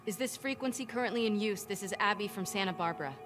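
A woman's voice: mid-range voice, quick pace, music in the background, lightly acted. An actress playing a determined, hopeful young woman survivor in a video game, speaking naturally in terse, clipped phrases. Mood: hopeful, searching.

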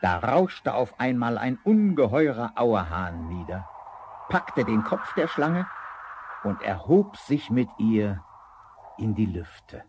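A man narrating a vintage fairy-tale radio play as an expressive, measured storyteller. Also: on the low side, slight background noise. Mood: dramatic.

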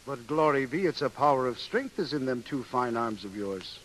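A man's voice: medium pitch, lively intonation, moderate pace, a bit bright, natural voice, poor audio. Casting: male actor as big boastful Irish sailor, smitten and lilting, for a faintly hissy vintage radio play. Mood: boastful, admiring. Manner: blustering, lilting, flowery talk. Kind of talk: 1930s radio acting. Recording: hissy 1930s radio broadcast.

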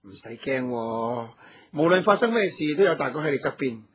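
A man acting a devoted, gentle hunter hero with a husky voice in a Hong Kong Cantonese radio drama. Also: mid-range voice, animated, normal speed. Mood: tender, earnest. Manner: gentle, solicitous, earnest. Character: tender, devoted hunter hero and new husband.

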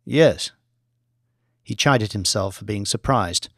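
A male narrator reads a thriller novel as an audiobook. His delivery is measured and dramatic, in the manner of scripted storytelling. The recording is close and dry, with very little room in it. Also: medium pitch, expressive, no echo.

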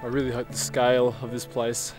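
A man's voice: mid-range voice, a little fast. Young male travel vlogger, mid-range voice, casual and upbeat, on a camera mic with background music. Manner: relaxed, enthusiastic, chatty with fillers. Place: outdoors on windy cliffs and inside a car. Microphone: camera-mounted mic, held at arm's length.

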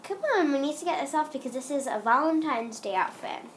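A woman's voice: high voice, brisk, slight background noise. A young girl vlogging at home, chatting in a rambling way with lots of ums while she has a cold. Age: child. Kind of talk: casual chatty vlog.

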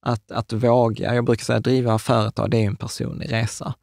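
Gravelly man explaining eagerly. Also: mid-range voice, little pitch movement, a little mellow, no echo, clean studio sound. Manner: enthusiastic, restarts and fillers, gestures while talking. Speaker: podcast co-host. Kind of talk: casual explanatory chat. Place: small studio room with curtains and plants. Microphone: large studio mic on a table stand.

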